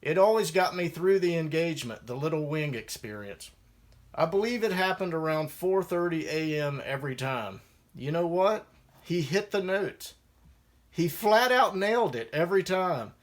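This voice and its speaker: A man's voice: on the high side, lively intonation, moderate pace. A man reading his own first-person memoir aloud as a musician, in a wry storytelling manner.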